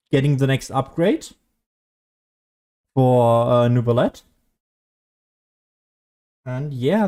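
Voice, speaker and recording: A man's voice: mid-range voice, warm tone. A man giving casual, rambling video game commentary, often losing his train of thought like a streamer talking as he plays.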